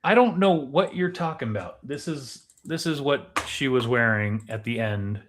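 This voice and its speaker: A man's voice: medium pitch, normal speed, a bit bright, slight background noise. A man co-hosting a movie discussion show, talking casually and explaining his points to his co-host. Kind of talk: casual film theory discussion.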